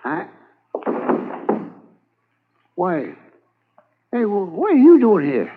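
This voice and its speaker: Nervous settler man, high animated voice, tinny old radio sound. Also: extremely slow, noisy background, exaggerated voice. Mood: jittery. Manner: nervous stammering with many uh and repeats. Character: jittery backwoods settler man. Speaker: settler and trapper. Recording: tinny 1950s radio transcription.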